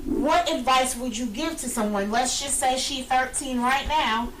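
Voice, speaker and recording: Earnest woman talk show host, mid-life, bright-ish higher voice, studio mic. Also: measured pace, a little hiss or hum, low-quality recording. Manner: earnest, emphatic. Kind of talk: casual talk show chat. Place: small studio lounge, indoors.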